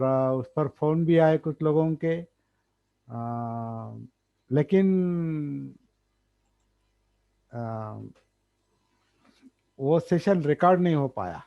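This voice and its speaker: A man gives a dharma talk, thinking aloud, pausing often and repeating key words. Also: medium pitch, gravelly. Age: 60s. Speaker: meditation teacher. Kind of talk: slow explanatory dharma talk. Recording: dull lo-fi recording.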